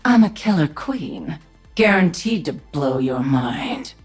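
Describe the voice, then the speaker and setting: A woman voicing a sardonic, commanding alien-hybrid warrior queen in a video game, with a husky voice. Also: deep voice, very animated, slow pace, soft background music, exaggerated voice. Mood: menacing, sardonic. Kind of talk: video game character voice lines.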